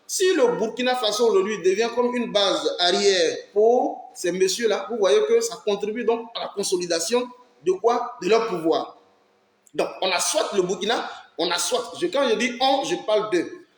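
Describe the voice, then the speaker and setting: High-voiced, emphatic man. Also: normal speed. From Ivory Coast. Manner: emphatic, rhetorical questions, urges listeners to listen. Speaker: political commentator hosting his channel. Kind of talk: impassioned political commentary. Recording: roomy echo.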